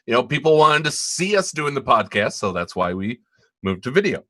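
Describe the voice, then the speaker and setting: A man co-hosting a podcast in casual live-stream chat, halting, with many ums and restarts. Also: medium pitch, sing-song, fairly bright tone. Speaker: podcast co-host.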